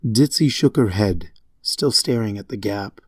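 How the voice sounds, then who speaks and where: A man's voice: fairly low pitch, unhurried, husky, no room sound, clean studio sound. A man reading scripted third-person narration for a fan fiction story.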